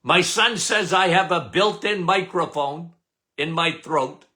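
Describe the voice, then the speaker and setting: An older man from Canada hosting a podcast, loud and emphatic, with a habit of repeating "okay?". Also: on the high side, unhurried. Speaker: podcast host. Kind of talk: casual live talk.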